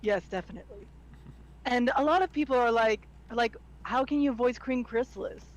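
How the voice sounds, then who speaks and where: A woman with a strong Midwestern American accent and a nasal, slightly high voice. She talks in a quick, excitable way, circling back on her words when she gets going. Her chat is loose and full of swearing. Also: rough recording. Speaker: guest voice actor. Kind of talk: casual livestream chat.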